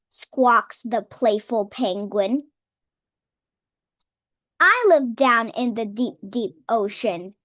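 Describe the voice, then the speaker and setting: Higher-voiced woman reading a storybook, dry sound. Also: a bit bright, dry recording. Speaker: children's story reader. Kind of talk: read-aloud of a children's picture book.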